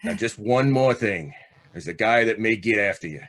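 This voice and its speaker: Man playing a low-voiced, hard-bitten condemned gangster. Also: lively intonation, normal speed, fairly bright tone. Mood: scheming, coaxing. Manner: tough, slangy, clipped commands. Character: hard, scheming condemned gangster, vengeful manipulative older brother. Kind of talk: old-time radio drama acting.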